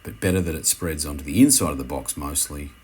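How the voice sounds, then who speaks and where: A man giving an unscripted how-to commentary as an instructor, speaking in a halting way and often correcting himself. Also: a bass voice, a little mellow, slightly rough. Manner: hesitant, halting, self-correcting.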